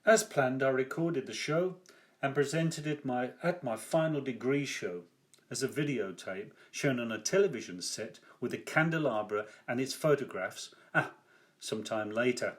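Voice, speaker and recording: An older man reading his own first-person memoir aloud as its author, with measured, deliberate phrasing. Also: mid-range voice.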